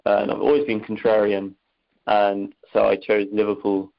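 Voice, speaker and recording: Irish guest, low and flat, understated, lo-fi. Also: male voice, normal speed, vocal fry. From Ireland, Dublin. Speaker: podcast guest. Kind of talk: casual podcast chat.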